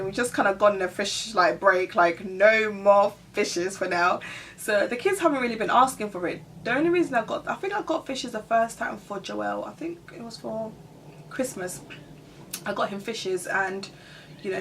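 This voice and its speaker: A woman's voice: mid-range voice, a bit bright, a little hiss or hum. A woman from Ghana chatting casually as a vlogger, rambling with lots of "like" and "you know". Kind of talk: casual get-to-know-me chat. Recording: voice a bit distant from the mic.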